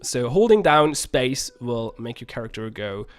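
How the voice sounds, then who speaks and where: A man's voice: mid-range voice, sing-song, a little slow. A young man, a little sick, casually commenting on a game as he plays, rambling and correcting himself often. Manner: rambling, self-correcting, laughs at own plays. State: a little sick, voice slightly rough. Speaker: game reviewer.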